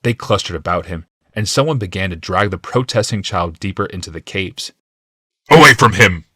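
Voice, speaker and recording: A man's voice: sits low, a little fast, fairly bright tone, breathy edge, dry recording, studio quality. A man reading scripted, dramatic fantasy narration as an audiobook narrator.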